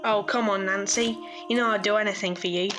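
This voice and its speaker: A woman's voice: medium pitch, fairly even intonation, fairly quick, music in the background, natural voice. A woman playing a cocky, charming teenage boy pickpocket in an audio drama, breezy and swaggering.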